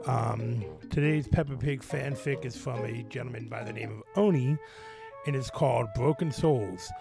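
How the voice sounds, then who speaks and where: A man with a creaky voice reading a story aloud in an informal, dramatic way, stumbling over words now and then. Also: mid-range voice, normal speed, music in the background. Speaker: host reading fan fiction over gameplay. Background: children's video game dialogue playing.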